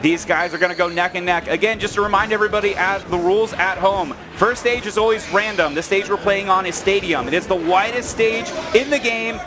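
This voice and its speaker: High-pitched excitable male game commentator, music behind. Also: a little fast, hardly any pauses. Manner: excitable, hyping up the players. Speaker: esports tournament commentator. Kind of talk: live play-by-play game commentary.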